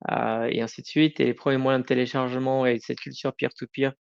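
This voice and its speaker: A man's voice: mid-range voice, little pitch movement, creaky, clear, resonant tone, muffled recording. A middle-aged man answering interview questions informally, often breaking off and rephrasing. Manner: informal, halting, self-correcting. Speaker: podcast guest, company founder.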